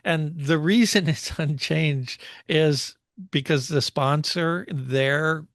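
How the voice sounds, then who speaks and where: A man's voice: a little high, takes it slowly, a bit bright, dry recording, studio quality. A man co-hosting a motorsport show in casual, conversational and analytical discussion.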